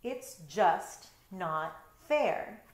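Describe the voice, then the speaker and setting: A middle-aged woman reads a picture book aloud at an average pitch for a woman. She breaks off often for chatty, explanatory asides in a conversational way. The sound is roomy, with clear indoor echo around her voice. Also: expressive, very slow. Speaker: storytime reader, teacher. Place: bedroom at home.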